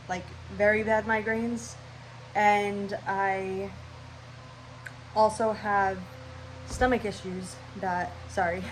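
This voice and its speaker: A woman's voice: medium pitch, a little hiss or hum, rough recording. A young woman with a stuffy, nasal voice, rambling casually through her channel intro at home. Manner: scatterbrained, rambling, chatty. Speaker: vlogger.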